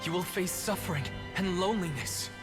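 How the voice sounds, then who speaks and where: A man voicing a solemn spirit who gravely warns about becoming human. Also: high-pitched, fairly quick, over loud music, natural voice.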